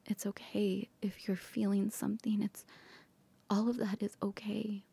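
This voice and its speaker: A woman whispering softly in an ASMR comfort roleplay, gently reassuring a partner. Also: mid-range voice, little pitch movement, unhurried, gravelly, breathy edge.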